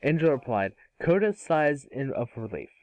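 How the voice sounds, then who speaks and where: A man narrating a script aloud in an amateur table read, with a halting, stumbling delivery. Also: medium pitch, sing-song, darkish tone, low-quality recording.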